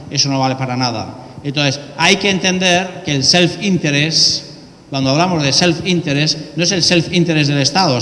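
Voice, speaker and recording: A man's voice: a little high, a bit bright. Man lecturing in a reverberant hall. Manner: asks and answers his own rhetorical questions. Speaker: law professor giving a keynote lecture. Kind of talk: academic lecture. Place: ceremonial hall in a courthouse. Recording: long reverb of a large hall.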